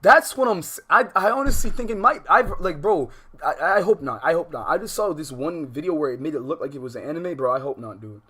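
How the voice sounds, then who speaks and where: A man's voice: on the high side, lively intonation, a little fast, a bit bright, slightly rough. A man live-streaming a casual reaction, full of slang, speaking emphatically and incredulously and often repeating himself. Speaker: livestream host reacting to a video.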